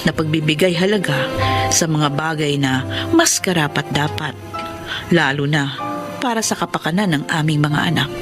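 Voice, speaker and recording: A reflective wife played by a woman tells her life story. Her voice is somewhat low and measured, in dramatic narration with music clearly behind. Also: close to an everyday voice. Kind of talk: dramatic scripted first-person narration.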